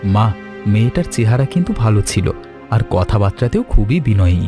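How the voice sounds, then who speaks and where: A man's voice: on the low side, very animated, moderate pace, over music. A man voicing an earnest, reassuring grown son in a cartoon story. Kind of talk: animated story voice acting.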